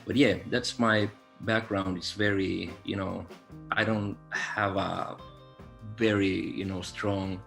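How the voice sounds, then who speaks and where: Gravelly low-voiced man. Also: steady tone, slow pace, fairly bright tone, faint music behind. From Finland. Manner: reflective, laid-back, searching for words. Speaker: guest musician. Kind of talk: casual interview answers.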